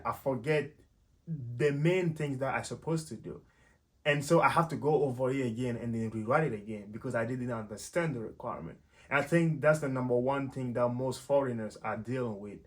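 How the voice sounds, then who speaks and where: A man's voice: mid-range voice, a bit bright. Man, casual vlogger. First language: French. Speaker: YouTube host and software developer. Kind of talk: casual explanatory vlog talk to viewers.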